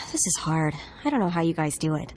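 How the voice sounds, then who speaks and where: A woman's voice: low-pitched, very animated, moderate pace, darkish tone. A woman voicing an eager but hesitant companion in a video game, candid about doubts. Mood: uncertain. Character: unsure, eager female companion on a trail. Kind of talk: dramatic video game dialogue. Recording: faint game music behind the voice.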